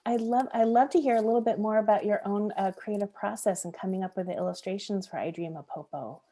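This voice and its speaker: A woman's voice: medium pitch, fast, dark tone. A woman hosting a live online book event, welcoming guests and asking questions with frequent fillers. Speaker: museum program host. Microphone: video call.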